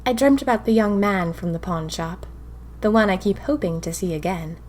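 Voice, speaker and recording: A woman's voice: mid-range voice, normal speed, a little mellow, close to an everyday voice. A woman playing a dreamy, self-dramatizing young 1920s woman who confides her diary in an audio drama. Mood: dreamy, wistful. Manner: wistful, confiding, wryly dramatic. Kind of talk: first-person diary audio fiction.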